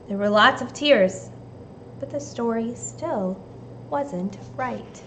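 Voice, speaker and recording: A woman from the United States reading a storybook aloud and giving children simple, deliberate instructions like a teacher. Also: on the high side, fairly bright tone, slight background noise. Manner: deliberate, simple phrasing addressed to young children.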